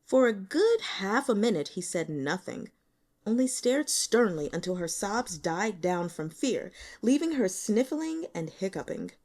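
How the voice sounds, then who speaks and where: A woman reading a novel aloud as scripted audiobook narration, with expressive, dramatic storytelling. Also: mid-range voice, unhurried. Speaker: audiobook reader.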